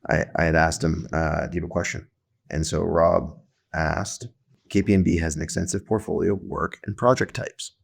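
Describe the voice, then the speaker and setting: A man hosting a podcast in casual conversation, talking in halting, rambling restarts. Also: low-pitched, steady tone, gravelly, husky. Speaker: podcast host.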